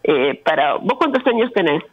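A woman's voice: on the low side, sing-song, normal speed, breathy edge, no echo, muffled recording. A woman in her fifties with an Argentine accent, speaking frankly and emphatically as an interview guest, often with rhetorical questions. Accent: Argentine Spanish. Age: 50s. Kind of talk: candid conversational interview.

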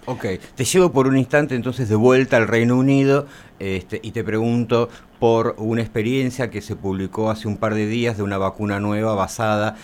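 A man putting questions in a conversational, unhurried way as a radio interviewer. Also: fairly low pitch, fairly even intonation, darkish tone.

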